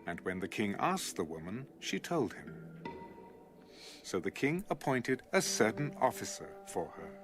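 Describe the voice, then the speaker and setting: A man's voice: fairly low pitch, sing-song, measured pace, over music. A man narrating a dramatized scripture audiobook as a scripted storyteller.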